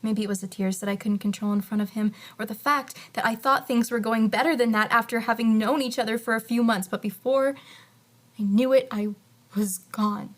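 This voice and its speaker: A woman's voice: on the high side, fairly even intonation, a little fast, close to an everyday voice. A young woman acting as a wistful, regretful, hesitant young woman in an audio drama narrated in the first person. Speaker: lead actress and narrator.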